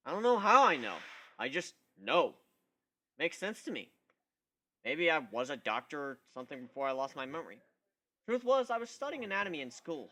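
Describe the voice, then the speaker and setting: A man voicing a cocky, introspective young male griffin in an expressive dramatic story reading. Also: mid-range voice. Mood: wry, defensive. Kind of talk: dramatic first-person fan fiction reading.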